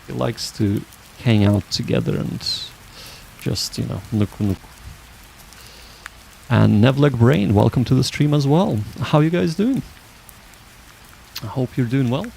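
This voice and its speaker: A man hosting a livestream, chatting casually with viewers in a halting, rambling way that often restarts sentences. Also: medium pitch, lively intonation, gravelly, a little mellow, slight background noise, rough recording. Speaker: livestream host.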